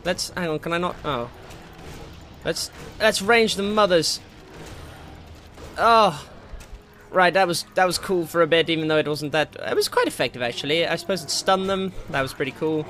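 Bright-voiced man commentating on a video game. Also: fairly high pitch, expressive, normal speed, pauses often, slight background noise. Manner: jokey, slangy, prone to exclaiming and swearing. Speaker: gaming video host. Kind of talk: casual, excitable game playthrough commentary. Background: game music.

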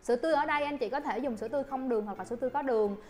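A young woman, a barista instructor, guiding viewers through a coffee recipe politely with soft fillers, recorded on a lapel mic at a shop counter. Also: high voice, rapid-fire. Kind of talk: coffee-making tutorial.

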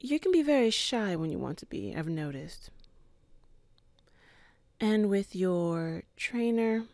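Woman doing a composed boss lady, low voice, soft teasing, dry recording. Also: no room sound, natural voice. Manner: calm, measured, softly flirtatious. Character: cool, composed female office boss. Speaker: ASMR roleplay performer. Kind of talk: ASMR roleplay.

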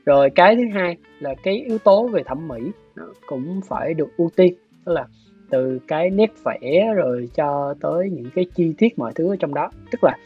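Male interview guest, southern Vietnamese accent, husky and measured, muffled video-call sound with guitar music behind. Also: on the high side. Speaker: guest, animation director. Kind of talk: explanatory interview talk. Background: soft plucked guitar music.

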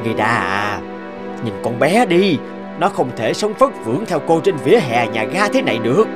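A man's voice: medium pitch, very animated, normal speed, music in the background, lightly acted. A man playing a scheming burly smuggler in a cartoon, sly, cynical and persuasive. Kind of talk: dubbed cartoon acting.

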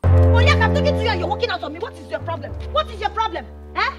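A woman's voice: extremely high pitch, big pitch swings, fast talker, highs cut off, over loud music. A woman playing a proud, wronged mother in a film drama, with a Nigerian accent and an insistent, repetitive manner. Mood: angry, demanding.

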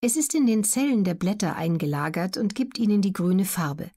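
Female audiobook narrator, mid-range voice, measured and neutral delivery, careful articulation for educational material. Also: medium pitch, lively intonation, warm tone.

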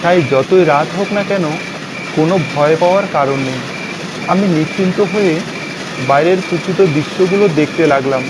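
A man's voice: on the high side, moderate pace, background noise. A man narrating a scripted ghost story in the first person. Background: background music.

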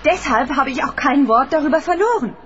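A woman's voice: high voice, lively intonation, brisk. A woman playing a spirited, outspoken racing driver's companion in a children's radio play. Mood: matter-of-fact, pointed. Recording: dull old cassette, noisy background.

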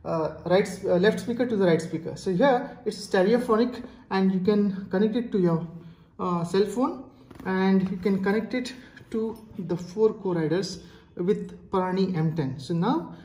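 A woman with an Indian accent giving a casual hands-on product demonstration in a halting, explanatory manner. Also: medium pitch, dark tone. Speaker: product reviewer. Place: indoors, tiled-floor room. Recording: echoey hard-floored room.

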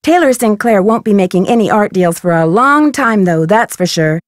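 A woman's voice: mid-range voice, lively intonation, normal speed, dry recording, clean studio sound, lightly acted. A woman playing a curious, poised and polite young female detective in a video game, speaking in an articulate, inquisitive way. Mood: bright, reflective. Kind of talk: video game voice acting, scripted narration and dialogue.

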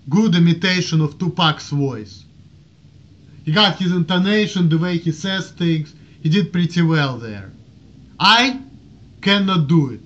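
A man in his thirties with a strong Russian accent, ranting casually to a webcam in a small room and waving his arms as he talks. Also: on the high side. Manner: animated, waves his arms, rambling restarts. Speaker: vlogger. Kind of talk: casual rant to camera. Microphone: webcam at desk. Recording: dull lo-fi webcam audio.

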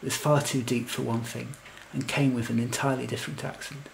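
A man's voice: mid-range voice, level tone, brisk, creaky, slight background noise, lightly acted, poor audio. A man playing a lovelorn, anxious, wry fellow who tells his own comic story in earnest, wordy scripted lines. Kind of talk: scripted comic first-person narration and verse.

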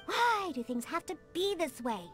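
Huffy young cat girl game character, high voice swooping around, proud and prickly, music behind. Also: big pitch swings, normal speed. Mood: grumbling, exasperated. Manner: huffy, haughty, hesitant when asking favours. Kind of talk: English video game voice acting.

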